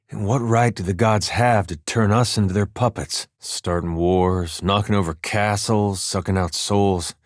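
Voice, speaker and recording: An actor playing an easygoing, wry farmer turned war veteran adventurer in a video game, with a folksy, drawling, dryly humorous delivery. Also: male voice, fairly low pitch, no echo, clean studio sound. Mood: reflective, troubled. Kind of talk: video game character dialogue.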